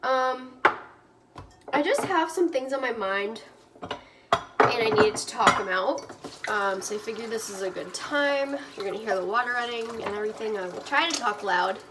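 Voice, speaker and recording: A young woman with a naturally quiet, soft voice, chatting casually and rambling with lots of ums while she does the dishes at home, talking into a phone propped on the windowsill. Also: on the high side, normal speed, very noisy. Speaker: vlogger and online reseller. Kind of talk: casual vlog chat while doing dishes. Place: home kitchen at the sink, water running.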